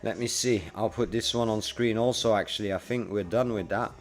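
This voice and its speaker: A man's voice: fairly low pitch, steady tone, fairly quick. Laid-back forty-year-old man chatting over music. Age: 40s. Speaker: livestreamer and freelance web developer. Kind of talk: casual livestream chat with viewers. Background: music playing.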